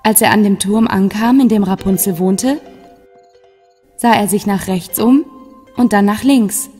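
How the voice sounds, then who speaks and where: A female storyteller narrates a children's fairy tale from a script. Her voice sits at a medium pitch, with music clearly playing behind it. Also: unhurried, darkish tone.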